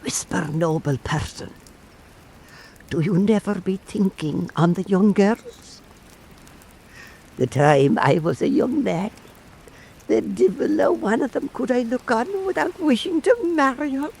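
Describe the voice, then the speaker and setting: An actor playing a frail old Irish island storyteller in a radio drama, speaking in an earnest, folksy, teasing way. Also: male voice, high voice, lively intonation, a little mellow, slight background noise. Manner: slow, folksy, emphatic.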